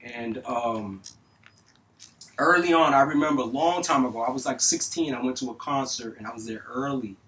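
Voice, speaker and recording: A middle-aged man from North Miami telling stories in a casual studio interview, animated and full of street slang and cursing. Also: medium pitch, normal speed. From North Miami, Florida. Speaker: music engineer guest. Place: recording studio. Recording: distant mic, faint noise.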